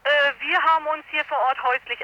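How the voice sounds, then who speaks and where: Woman, activist interviewee, high pitch, bright forceful tone, halting earnest delivery, live radio by telephone. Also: steady tone, highs cut off.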